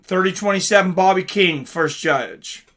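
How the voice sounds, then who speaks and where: A man's voice: high-pitched, normal speed, a bit bright. A man hosting a casual live reaction stream, chatting informally with viewers and commenting on fights. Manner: chatty, informal, greets viewers and reads chat aloud.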